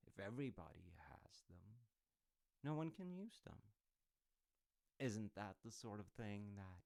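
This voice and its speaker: A man acting as a smooth, sly male secret agent in an audio roleplay, close and dry. His low voice swings playfully up and down as he muses to his partner with teasing, false innocence. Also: darkish tone, no room sound, heavily acted. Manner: teasing, musing, hesitant pauses, falsely innocent. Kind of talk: intimate audio roleplay, addressing the listener as partner.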